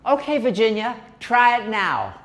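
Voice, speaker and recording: A practical, exasperated mother, put on by a man talks with a wry, brisk edge. Her pitch rises and falls a lot while she explains herself. The delivery stays unhurried. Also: big pitch swings, vocal fry, a bit bright, full character voice. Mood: soothing. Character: exasperated American mother, practical, sharp-tongued.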